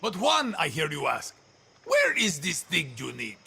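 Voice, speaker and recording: A man's voice: on the high side, wildly swooping pitch, unhurried, creaky, bright, forceful tone, breathy edge, heavily acted. An actor playing a theatrical, boastful older guerrilla mentor who jokes his way through orders in a video game cutscene. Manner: showy, joking, mock dialogue. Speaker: guerrilla contact giving orders.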